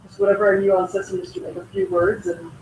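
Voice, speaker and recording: A man's voice: high voice, flat delivery, fast talker, a little mellow. A man from Canada whose first language is Greek, chatting in a meeting with lots of likes and restarts. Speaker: meeting participant. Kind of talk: casual meeting discussion. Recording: roomy, distant mic with faint noise.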